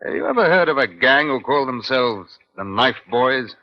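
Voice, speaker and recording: Over a dull old radio recording comes a man acting as a police inspector of middle age. He speaks in a medium-pitched voice with formal, measured authority, dry and probing, in the style of a fifties crime serial. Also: animated, close to an everyday voice.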